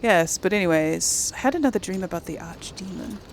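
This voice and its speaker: A woman's voice: mid-range voice, lively intonation, a little fast, a little hiss or hum. A woman doing casual let's play commentary, thinking aloud and talking to the game's characters. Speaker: gaming video host.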